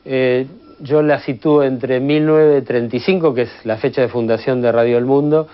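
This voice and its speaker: Man, Argentine accent, medium pitch, didactic expert interview, slightly muffled recording. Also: steady tone, brisk, clear, resonant tone. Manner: methodical, didactic. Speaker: radio history expert. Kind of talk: explanatory interview. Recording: slightly dull lo-fi sound.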